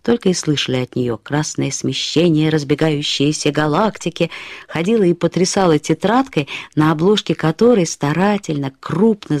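Актриса играет рассказчицу, которая с иронией и задумчивостью вспоминает взбалмошную подругу, живо и разговорно, как в литературном радиоспектакле. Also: female voice, low voice, dry recording. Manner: expressive, wry, conversational storytelling. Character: reflective woman recalling her flighty, dramatic female friend. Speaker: actress reading a short story as its narrator. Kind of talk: dramatized literary narration. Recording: studio radio play with faint music.

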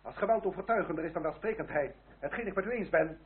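A very noisy, narrow-band old radio recording. A man plays a haughty marquis on the run, stiffly formal and threatening, with a highish, animated voice. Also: fast, close to an everyday voice. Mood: menacing. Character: haughty hunted marquis, dangerous cornered aristocrat. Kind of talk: old radio play acting. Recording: old radio recording, phone-like, loud noise.

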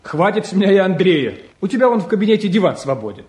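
A touchy grown-up son in a roomy, echoing space. His voice is high and animated. He is curt and dismissive, in vintage Soviet radio-drama style. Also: quick pace. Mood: irritated. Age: young adult. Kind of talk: mid-century Soviet radio-play acting. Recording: roomy echo, slightly distant.

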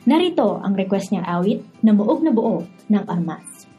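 A woman's voice: mid-range voice, sing-song, moderate pace, breathy edge, phone-quality sound. A woman with a Filipino accent hosting a radio drama series and reading scripted narration. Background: background music.

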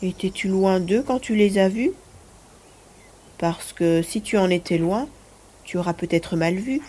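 A woman playing a curious, eager little grandchild with a husky voice and an inquisitive, expressive manner in an audio drama. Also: mid-range voice, a little slow. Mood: doubtful. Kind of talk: French-language audio drama acting.

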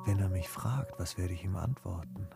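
An actor playing a modest, reserved gentleman traveller in a German radio play, polite, measured and gently ironic. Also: sits low, gravelly, over loud music. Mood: uneasy, evasive.

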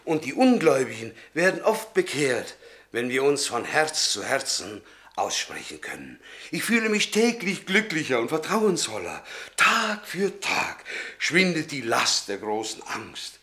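Fiery pious strongman, bright forceful voice, swings up and down, radio drama. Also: mid-range voice, very animated, measured pace, heavily acted. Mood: pious, exalted. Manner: fervent, declamatory, given to outbursts. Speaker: actor. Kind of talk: German radio play acting.

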